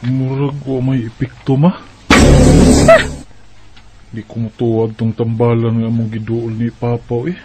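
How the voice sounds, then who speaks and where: A man's voice: mid-range voice, flat delivery, a little slow, pauses often, lo-fi sound, loud music behind the voice, close to an everyday voice. A man with a Bisaya Filipino accent playing an ailing, puzzled young farm son in a radio drama. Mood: troubled.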